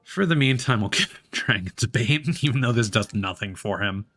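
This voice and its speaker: A man's voice: lowish voice, expressive, moderate pace, fairly bright tone, soft background music. A man livestreaming a video game, thinking aloud in a casual, rambling way and swearing now and then. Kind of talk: casual gaming stream chat.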